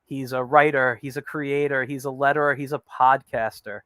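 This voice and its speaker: A man's voice: mid-range voice, flat delivery, moderate pace, bright, forceful tone, dry recording, studio quality. A man in his forties hosting an online interview show, chatty and upbeat with frequent fillers. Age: 40s. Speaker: host.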